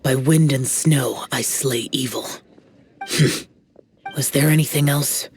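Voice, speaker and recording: An actor voicing a stern, solitary immortal demon slayer in a video game, terse and solemn. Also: male voice, mid-range voice, slow pace, slight background noise. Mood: solemn, aloof. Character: stern, aloof, solitary immortal male demon-slaying guardian spirit.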